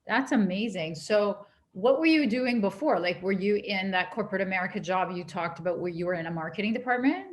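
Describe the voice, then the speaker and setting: A woman hosting a podcast, reacting warmly and asking her guest questions in a casual interview. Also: mid-range voice, lively intonation, brisk, hardly any pauses, a little hiss or hum. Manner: warm, encouraging reactions and questions. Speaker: podcast host.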